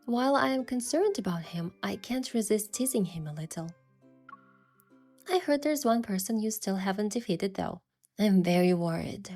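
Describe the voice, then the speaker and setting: An actress playing a playful, affectionate young heroine who softly narrates her own love story in a romance game. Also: mid-range voice, lively intonation, moderate pace, soft background music.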